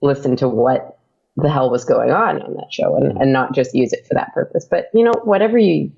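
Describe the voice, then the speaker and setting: A woman's voice: very deep voice, a little fast, creaky, warm tone. A woman podcaster chatting casually as an interview guest, rambling and laughing easily. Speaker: audio drama podcaster, guest. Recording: dull lo-fi audio.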